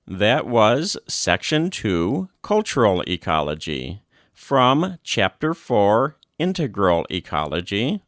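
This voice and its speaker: A man reading a formal document aloud as scripted narration, in a measured, phrase-by-phrase delivery. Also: on the low side, wildly swooping pitch, fairly bright tone, no room sound, studio quality. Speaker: audiobook reader.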